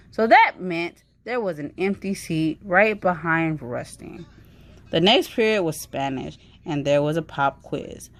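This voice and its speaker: A woman's voice: fairly low pitch, fairly bright tone. A woman reading a children's story aloud in a storytelling style. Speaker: reader of a children's book.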